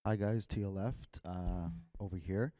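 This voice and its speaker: Low-voiced journalist from Israel. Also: male voice, fairly even intonation, measured pace, mellow.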